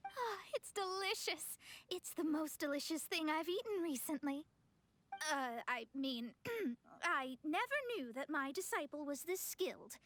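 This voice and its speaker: A woman's voice: high voice, expressive, measured pace, fairly bright tone, no room sound. A woman playing a proud young astrologist in a video game, with a haughty, formal manner that gets flustered. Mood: amazed.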